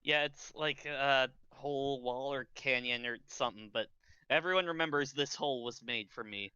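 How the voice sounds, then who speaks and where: A man's voice: medium pitch, normal speed, sharp tone, clear, resonant tone, dry recording, dull audio. A man chatting casually as a guest on a stream, over a voice call. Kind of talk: casual chat.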